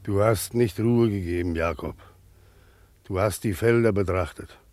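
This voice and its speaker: An actor playing a grave, steadfast peasant storyteller in a radio drama, with a solemn, measured biblical cadence. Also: male voice, deep voice, a little mellow, no room sound.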